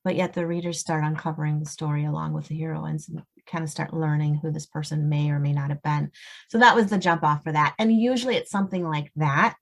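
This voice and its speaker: A woman's voice: sits low, steady tone, fairly quick, creaky. A woman speaking as a guest author in a casual interview, explaining things in a storytelling way with examples. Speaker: guest novelist.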